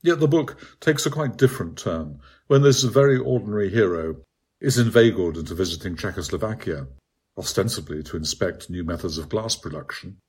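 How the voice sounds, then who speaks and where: A man reads his own literary essay aloud as scripted narration, in a measured, articulate and bookish manner. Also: sits low, slightly rough. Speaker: author reading own article.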